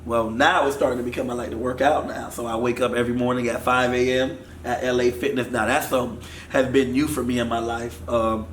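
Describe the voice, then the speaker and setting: A man in his thirties from Miami, a football coach talking in a casual interview, animated. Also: mid-range voice, a little fast. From Miami, Florida. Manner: animated, gestures while talking. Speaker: football offensive coordinator. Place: studio set with sponsor backdrop. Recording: echoey room with faint noise.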